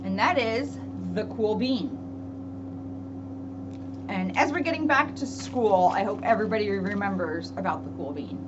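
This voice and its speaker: A woman police officer speaking to children in an expressive, storybook read-aloud manner, with emphatic pauses. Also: medium pitch. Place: indoors at a public library. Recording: dull lo-fi audio with clear background noise.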